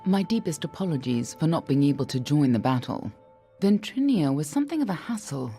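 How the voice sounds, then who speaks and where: A very deep-voiced woman with a gravelly edge, playing a guarded loner in a fantasy video game. She is dry and aloof, yet her pitch moves freely. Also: very animated, fairly quick, dark tone, faint music behind. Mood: apologetic, dry. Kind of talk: dramatic video game dialogue.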